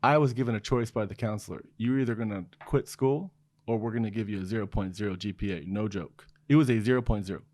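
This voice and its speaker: A man's voice: fairly low pitch, fast talker, a little mellow, no echo. A middle-aged man raised in Oregon, a podcast guest telling stories in a small studio, easygoing and chuckling as he talks. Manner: easygoing storyteller, chuckles through answers. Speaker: guest, design executive. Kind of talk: casual interview chat. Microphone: studio mic on boom arm, headphones.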